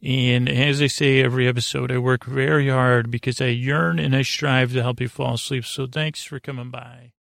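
A man hosting a bedtime podcast, meandering and halting as he rambles, often trailing off mid-thought. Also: mid-range voice, level tone, creaky, a bit bright, no room sound, studio quality. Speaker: podcast host.

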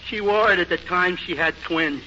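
An actor playing a dim-witted, earnest, well-meaning barfly in 1940s radio comedy style. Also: male voice, high voice, normal speed, close to an everyday voice. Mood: earnest, dopey. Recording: muffled, noisy 1940s radio recording.

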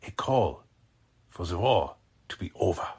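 A man acting a scheming, haughty military officer and spymaster in a 1940s-style radio drama, with an imperious, theatrical, deliberate manner. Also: deep voice, very animated, darkish tone, husky, heavily acted. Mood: contemptuous, scornful.

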